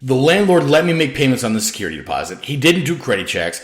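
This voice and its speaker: A man's voice: mid-range voice, sing-song, quick pace, voice pushed up, lightly acted. An anxious young man in an audiobook, played by the male narrator, defending himself in a pleading way. The intonation rises and falls a lot, and the room sounds echoey. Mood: exasperated. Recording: roomy, echoing sound.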